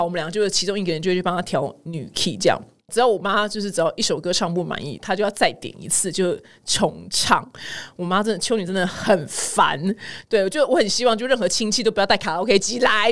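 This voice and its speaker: A woman's voice: medium pitch, fairly bright tone. A middle-aged woman with a Taiwanese Mandarin accent hosts casual news commentary with personal anecdotes, chatty, colloquial and exclamatory. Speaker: podcast host.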